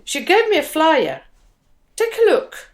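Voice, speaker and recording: Earnest, slow-spoken budding woman poet. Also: extremely high pitch, huge pitch swings, heavily acted. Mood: animated, explanatory. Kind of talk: radio drama acting, with recited poetry.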